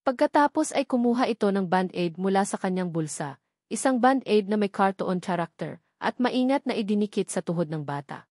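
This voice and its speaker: A woman's voice: medium pitch, animated, brisk, darkish tone, dry recording, studio quality. A woman with a Filipino accent reading a scripted dramatic story as its narrator.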